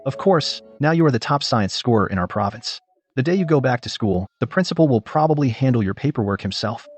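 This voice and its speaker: A man playing a supportive, kindly teacher and official in a dubbed radio drama, measured and husky. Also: medium pitch, lively intonation, faint music behind. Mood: kindly, reassuring.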